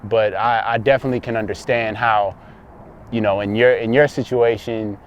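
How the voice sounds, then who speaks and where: A man's voice: on the low side, steady tone, normal speed, dull audio, a little hiss or hum. A man of thirty from Texas, a guest talking reflectively in a casual conversation, often restarting his sentences. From Dallas, Texas. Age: 30s.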